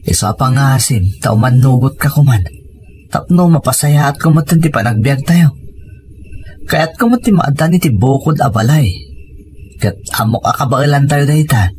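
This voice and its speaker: A man's voice: medium pitch, expressive, normal speed, darkish tone, lightly acted. A man with an Ilocano Filipino accent playing an earnest, reassuring young husband in an audio drama. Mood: earnest, persuasive. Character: earnest young husband leaving to work abroad. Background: background music.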